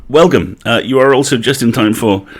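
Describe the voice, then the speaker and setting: A man's voice: medium pitch, a little fast, husky. A British man hosting a livestream in a casual, chatty way, with wry, playful asides. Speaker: livestream host.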